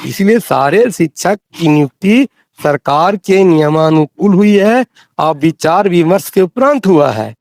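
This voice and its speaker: A man speaks in a fairly high voice. He explains things insistently, repeating phrases for emphasis. The recorded call sounds dry, with little echo. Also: lively intonation, a little slow, darkish tone. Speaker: interviewee explaining teacher rules. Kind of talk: explanatory phone discussion.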